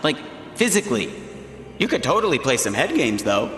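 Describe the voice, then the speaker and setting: A man's voice: mid-range voice, big pitch swings, fairly quick, fairly bright tone, a little hiss or hum. A man voicing a glib, scheming supernatural reality show host in a video game, breezy, flippant and theatrical. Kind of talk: cartoonish video game acting. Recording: very echoey hall sound, faint ambience.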